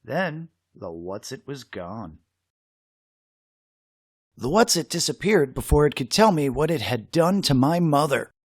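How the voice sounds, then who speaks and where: A man reading a children's story aloud in an expressive, dramatic way with suspenseful pauses. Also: on the high side, breathy edge, no echo, clean studio sound. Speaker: storybook reader.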